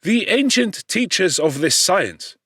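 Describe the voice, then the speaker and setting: Guy doing a kindly old-school science professor for an audiobook, formal lecturing, higher pitched, very dry sound. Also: sing-song, slow pace, fairly bright tone, no echo, full character voice. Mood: fervent, lofty. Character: eloquent, kindly male chemistry professor, fervent and dignified. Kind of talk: audiobook character voice.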